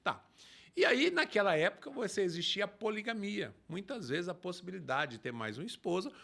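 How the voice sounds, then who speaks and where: Man, pastor, emphatic, high voice, very animated pitch. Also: brisk, gravelly. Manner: emphatic, lively preacher explaining scripture. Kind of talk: preaching in a talk show.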